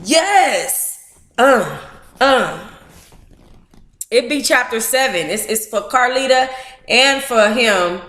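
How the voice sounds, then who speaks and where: An American woman reads aloud as a book club host in an echoey room. Her delivery is informal and animated, moving between narration and playful, blunt asides. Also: on the high side, slow pace, pauses often. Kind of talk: informal read-aloud with candid, profane asides.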